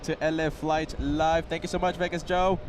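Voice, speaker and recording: A man's voice: a little high, little pitch movement, moderate pace. A man hosting a casual livestream outdoors near an airport, eager and chatty. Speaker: livestream host. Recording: loud outdoor traffic noise.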